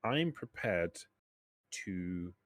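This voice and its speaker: A man acting as game master, narrating and bargaining in a halting way that repeats words. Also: low-pitched, lively intonation, fairly bright tone, no echo. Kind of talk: improvised tabletop game narration and negotiation in a trader's role.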